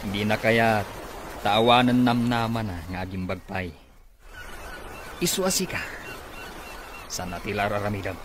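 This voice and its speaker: A man's voice: fairly low pitch, unhurried, pauses often, a bit bright, slight background noise. A man playing a greedy, scheming business rival in an Ilocano radio comedy-drama. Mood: bossy.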